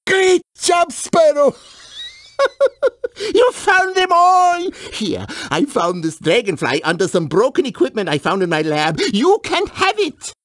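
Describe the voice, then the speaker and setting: An excitable video game scientist, with a high, hugely swooping voice. The delivery is flustered, mixing stammers with gushing exclamations. The sound is very dry. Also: female voice, measured pace, no room sound, full character voice. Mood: pleased. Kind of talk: animated video game character dialogue.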